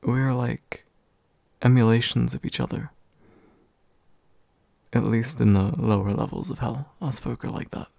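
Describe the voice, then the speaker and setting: A courteous demon, acted by a man, speaks in a dark, mellow voice with a gravelly fry. He is gentle, hesitant and formally polite, taking his time in a soft ASMR roleplay meant to comfort. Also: mid-range voice, steady tone, measured pace, pauses often, no room sound. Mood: musing, genial. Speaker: ASMR voice actor.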